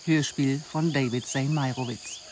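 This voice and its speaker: A woman's voice: extremely low pitch, fairly even intonation, measured pace, darkish tone, a little hiss or hum. A woman announcer reading scripted radio drama credits.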